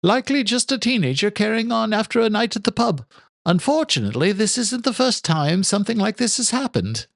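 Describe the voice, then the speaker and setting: Man voicing young adult son, polite, earnest, raised pitch, dry close sound. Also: sing-song, a little fast, gravelly, no echo, exaggerated voice. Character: young adult male groundskeeper, polite, good-natured son.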